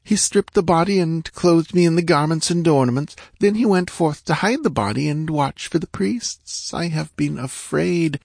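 A man's voice: a little high, normal speed, creaky, few pauses, a little mellow, no room sound, voice pushed up. A terrified young dancing girl pleads in a thin, tearful voice, words quivering on the edge of sobs, as a man reads her part. The sound is close and dry, with no room around it. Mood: frightened.

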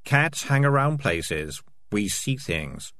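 A man's voice: lowish voice, sing-song, slow pace, a bit bright, exaggerated voice. A slippery talking tomcat played by a man in a storybook reading. He hedges, bluffs and stammers his way through excuses, looking out for himself, with swooping intonation. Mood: uneasy. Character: shifty, evasive, self-serving male talking cat.

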